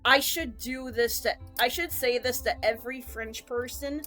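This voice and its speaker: A woman's voice: fairly high pitch, unhurried, a bit bright, faint music behind. A woman streamer in casual rambling chat, jokey and self-mocking.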